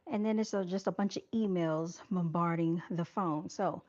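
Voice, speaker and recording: A soft-spoken woman hosting a casual livestream, chatting to her viewers and calling them y'all. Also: lowish voice, moderate pace, highs cut off. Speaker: livestream host. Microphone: camera mic on a livestream.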